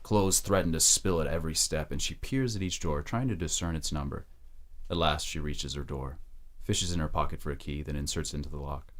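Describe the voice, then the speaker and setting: An extremely deep male voice narrates an audio drama. He reads scripted scene descriptions in the present tense, as a storyteller. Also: a little fast.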